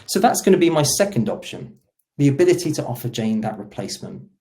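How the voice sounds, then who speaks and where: A man giving a conversational, explanatory walkthrough of software as a product demo presenter. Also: medium pitch, big pitch swings, fast talker. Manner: explanatory, role-plays agent dialogue.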